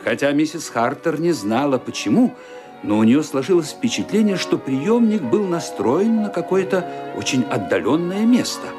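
A man narrating a radio play as scripted dramatic storytelling, in an expressive, theatrical manner. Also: on the high side, normal speed, over music.

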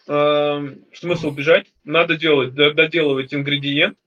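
A man's voice: fairly high pitch, level tone, unhurried, a bit bright. A man in his early thirties casually discussing a film as a podcast co-host, blunt and colloquial, in slightly dull lo-fi sound. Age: early 30s.

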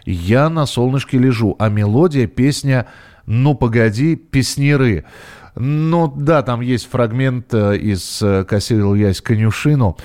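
A man's voice: mid-range voice, darkish tone. Male radio host, mid-range voice, casual live delivery, hesitant and often feeling for the next word. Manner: halting, hesitant, searching for words. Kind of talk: casual live talk, reading listeners' messages.

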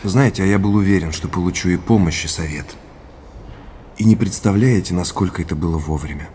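An actor playing a sincere, grateful heir in an audio drama, speaking in measured, earnest short phrases. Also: male voice, sits low, a little mellow, slight background noise, lightly acted. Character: Oleg, sincere grateful heir to a family legacy.

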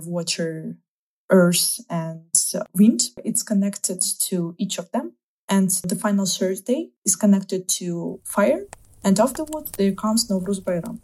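Young woman from azerbaijan, russian first language, warm mellow voice, a bit husky. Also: mid-range voice, low-quality recording. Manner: chatty, laughs often. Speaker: interviewee. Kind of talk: casual interview chat.

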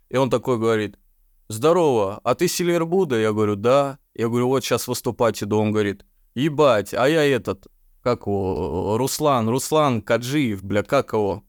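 A man with a baritone voice, a podcast guest telling stories in casual, slangy, swearing chat and laughing often. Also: moderate pace, dry recording, clean studio sound.

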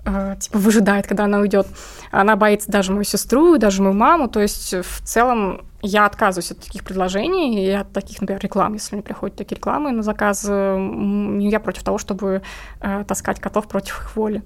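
A woman's voice: medium pitch, steady tone, fairly quick. Woman chatting informally in an interview. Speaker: interviewed comic artist and cat owner. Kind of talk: casual interview conversation.

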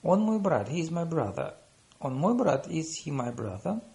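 A man reading paired lesson phrases, saying the English slowly and deliberately after quicker Russian. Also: on the high side, sing-song, gravelly, mellow. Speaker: language teacher.

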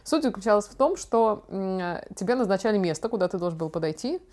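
A young woman hosting a podcast, chatting casually and telling personal stories in an expressive, slangy way. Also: mid-range voice, quick pace. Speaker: podcast host. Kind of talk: casual chat, personal storytelling.

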